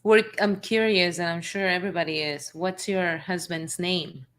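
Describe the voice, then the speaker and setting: A woman hosts a live interview chat. Her voice is low-pitched but very bright and forceful. She affirms her guest warmly and laughs easily. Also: fairly even intonation, normal speed. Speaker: host.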